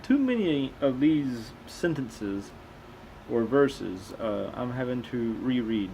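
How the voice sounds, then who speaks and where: A man's voice: mid-range voice, expressive, slow pace, creaky, dark tone, slight background noise, poor audio. A man reading aloud and commenting casually as a host, with a skeptical, questioning manner. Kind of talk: casual commentary between read-aloud passages.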